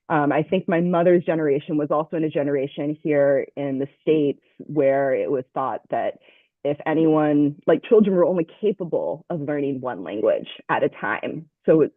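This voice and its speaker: A woman's voice: sits low, no echo. A young woman from Southern California introducing herself on a video call, hesitant with many ums. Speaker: language class student. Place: home. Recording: dry tinny phone-like call audio.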